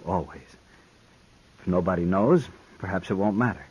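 An actor playing a stiff, proud, condescending gentleman suitor, formal in speech, in a 1950s radio western. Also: low-pitched, moderate pace, breathy edge, lo-fi sound. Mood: strained, earnest. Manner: formal, clipped, self-important.

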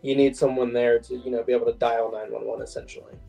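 A man's voice: mid-range voice, little pitch movement, fast, gravelly, dark tone, faint music behind. A man chatting casually as a guest over a video call from a room at home. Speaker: guest co-host.

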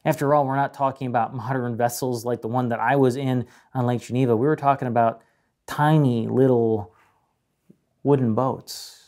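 A man in his thirties preaching a sermon as a pastor, in a measured way with dramatic pauses and emphasis. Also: medium pitch, fairly even intonation, a little mellow. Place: indoor room with a painted mural, speaking to camera.

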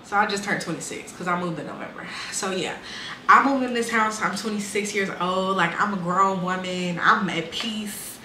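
A woman's voice: mid-range voice, moderate pace, pushed and bright, roomy sound, a little hiss or hum. A woman in her twenties casually and candidly telling her life story, with lots of "um" and "like". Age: 20s. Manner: candid, conversational, frequent fillers and restarts.

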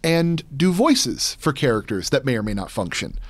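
A man's voice: mid-range voice, very animated, normal speed, no echo. A man in his thirties talking casually as an interview guest, close on a studio microphone. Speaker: podcast guest, voice actor and game master. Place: podcast studio, indoors. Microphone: close studio mic on boom arm, headset monitoring.

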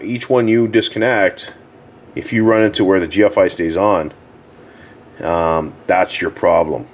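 A low-pitched man talks through a hands-on repair tutorial. He is casual and halting, circling back over his words in a plain way. The camera's microphone picks up clear background noise along with him. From Canada. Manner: halting, plain-spoken, repeats himself. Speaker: hot tub repair technician giving a tutorial. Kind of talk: casual unscripted how-to explanation.

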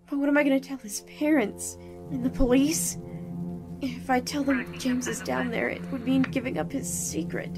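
A woman playing an anxious, determined young friend of a hero, thinking aloud in an audio drama. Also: high-pitched. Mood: alarmed.

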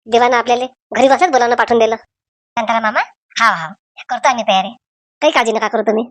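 Excitable cartoon villager, voiced by a woman: raised pitch, emphatic comic delivery, rural Vidarbha Marathi accent, dry studio-like sound. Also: fast, dry recording, close to an everyday voice. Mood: excited.

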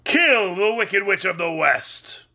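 A man voicing a great stern wizard, a giant head, in a storybook, with a commanding, emphatic manner and drawn-out words. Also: high voice, lively intonation, gravelly, sharp tone, pitched above the performer's own voice, full character voice. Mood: stern, demanding. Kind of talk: storybook character reading.

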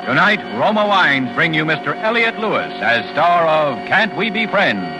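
A man announcing a 1940s radio show and its sponsor in an emphatic, deliberate, showy scripted style. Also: fairly high pitch, very animated, music in the background, low-quality recording.

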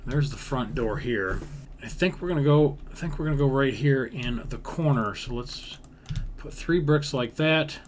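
A man's voice: mid-range voice, moderate pace. A man giving casual commentary as he builds in a video game, thinking aloud, repeating himself and using folksy grammar. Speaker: gaming video creator. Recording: background noise with keyboard typing.

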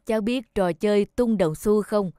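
A man voicing a stern but kindly middle-aged contest judge in a cartoon, measured and formal. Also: high-pitched, warm tone, clean tone, no room sound, studio quality, close to an everyday voice. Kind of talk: cartoon voice acting.

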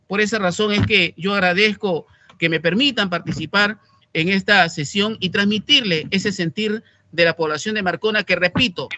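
An impassioned middle-aged man on a video call, speaking with indignant emphasis. His voice sits high and rings very bright. The sound is dry and close, with no room around it. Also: dry recording. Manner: emphatic, indignant, repeats for stress. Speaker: mayor. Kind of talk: impassioned address to a committee. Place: indoor office.

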